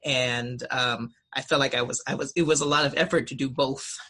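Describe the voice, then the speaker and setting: A man's voice: mid-range voice, steady tone, moderate pace, bright, forceful tone, breathy edge. A man on a video call in a class discussion, speaking thoughtfully with frequent fillers and restarts. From United States. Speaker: student in a linguistics discussion.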